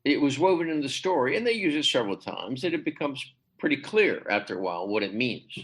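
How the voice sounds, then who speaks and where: A man speaking as a literary translator in a podcast interview, hesitant with many fillers and restarts, his pitch climbing sharply when he stresses a point. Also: very animated, fast, fairly bright tone, breathy edge, rough recording. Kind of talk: conversational interview.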